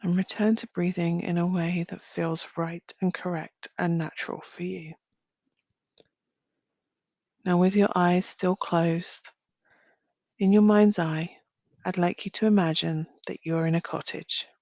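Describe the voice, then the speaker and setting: Low-pitched, creaky-voiced woman guiding a meditation. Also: level tone, no echo. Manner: gentle, unhurried phrasing with long pauses. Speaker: meditation guide. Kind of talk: guided visualisation, slow soothing narration.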